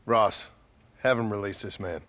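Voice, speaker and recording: An actor playing a gruff, reluctant gunslinger in a western video game, with a laconic, dry-witted manner. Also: male voice, lowish voice, unhurried, a bit bright. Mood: terse. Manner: laconic, sardonic. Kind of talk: video game cutscene acting.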